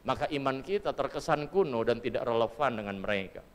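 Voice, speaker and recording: A man's voice: mid-range voice, lively intonation, brisk. Middle-aged male preacher from Papua, earnest testimony, resonant voice, big hall echo. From Papua, Indonesia. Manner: earnest storytelling, addresses congregation directly. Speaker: pastor. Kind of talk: sermon with personal testimony. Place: church hall. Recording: long church hall reverb.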